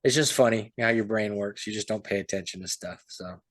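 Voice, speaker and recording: A man's voice: fairly low pitch, a little fast, fairly bright tone, no echo. A man, an author co-hosting a podcast, chatting casually and rambling, often trailing off with "so". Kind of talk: casual chat.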